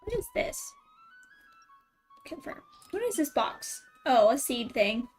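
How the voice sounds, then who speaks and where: A woman's voice: sits high, very animated, takes it slowly, a little mellow, breathy edge, soft background music. A woman game streamer chatting casually and playfully with viewers as she plays, teasing them. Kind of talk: casual live-stream chat over gameplay.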